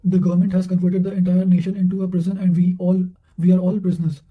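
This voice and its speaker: Male reader for revision notes: flat intonation, high register, occasional self-corrections. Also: quick pace, phone-quality sound. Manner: reads with stumbles and self-corrections. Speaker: narrator reading quotations for exam revision. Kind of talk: read-aloud quotations, study revision.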